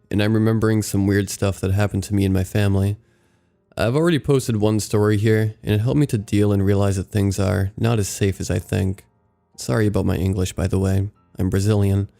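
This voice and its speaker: Deep gravelly man reading horror stories. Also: dark tone. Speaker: narrator reading submitted stories. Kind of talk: scripted horror story narration.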